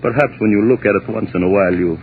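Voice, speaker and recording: An actor playing a jealous, doting maestro husband in a 1940s radio drama, with formal, halting, theatrical phrasing. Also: male voice, on the low side, close to an everyday voice. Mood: tender, anxious. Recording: muffled, noisy 1940s radio recording.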